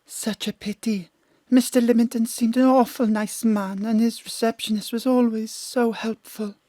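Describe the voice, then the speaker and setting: A woman's voice: a little high, fairly even intonation, moderate pace, warm tone, dry recording, close to an everyday voice. An actress playing a genteel, polite and gushing well-off lady in an audio drama. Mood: grateful, wistful. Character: genteel, grateful well-off lady client, cat lover.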